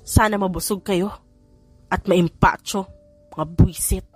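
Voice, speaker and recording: A woman's voice: mid-range voice, steady tone, measured pace, husky, soft background music. A woman voicing a feisty young woman in an audiobook, polite to elders and easily exasperated. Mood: irritated. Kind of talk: audiobook character dialogue.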